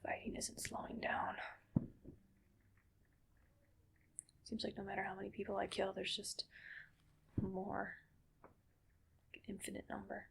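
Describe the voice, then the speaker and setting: A woman playing a gentle, weary cyborg soldier in a whispered ASMR roleplay, speaking breathily in slow, halting, soothing phrases. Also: mid-range voice, completely flat delivery, creaky. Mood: weary, pessimistic.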